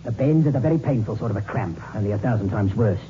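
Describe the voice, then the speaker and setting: An actor playing an impatient sceptic who is scornful of superstition, brusque and lecturing, in 1960s radio drama style. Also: male voice, on the low side, expressive, quick pace, warm tone, close to an everyday voice. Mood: dismissive, insistent. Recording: muffled, hissy old radio recording.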